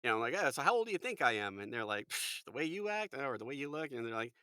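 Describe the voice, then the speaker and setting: A man in his forties, a voice actor and podcast guest, chatting in an animated way with a fairly high-pitched voice. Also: fast, smooth, no room sound, clean studio sound. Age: 40s. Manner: chatty, animated storyteller, quotes people in lively voices. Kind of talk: casual chat.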